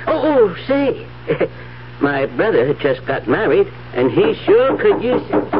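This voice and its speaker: Casting: obliging, simple-hearted building manager, higher male voice, sympathetic, old radio drama with muffled sound. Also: sing-song, slow pace, heavily acted. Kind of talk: 1970s radio drama acting. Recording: muffled, faintly noisy 1970s radio recording.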